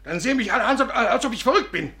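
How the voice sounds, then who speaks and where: An actor playing an embittered, agitated inventor confined in a sanatorium, speaking accusingly in a 1950s radio drama. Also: male voice, very high voice, animated, rapid-fire, bright, forceful tone, heavily acted. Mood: aggrieved, desperate. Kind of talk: 1950s German radio play acting.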